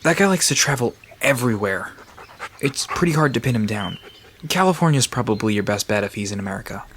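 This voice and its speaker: A man's voice: mid-range voice, animated, brisk, a bit bright, a little hiss or hum, lightly acted. A man playing an easygoing park guide in an audio drama, explaining things in a casual, conversational manner. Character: Sam, easygoing male park guide to cryptids.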